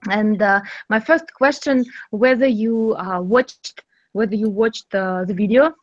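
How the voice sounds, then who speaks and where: A woman's voice: mid-range voice, measured pace, dry recording. Woman running an english club call, chatty, laughs a lot, dull dry audio. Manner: friendly, laughs often, fillers. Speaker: speaking club host. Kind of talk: casual chat, leading discussion.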